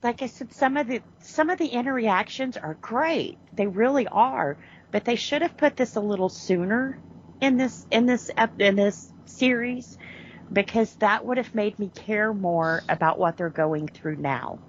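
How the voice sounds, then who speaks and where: A woman co-hosting a podcast, chatting casually about a TV series in an animated way, full of restarts and "you know". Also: a little high, a little slow, muffled recording, slight background noise. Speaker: podcast co-host. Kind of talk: casual chat reviewing a TV series.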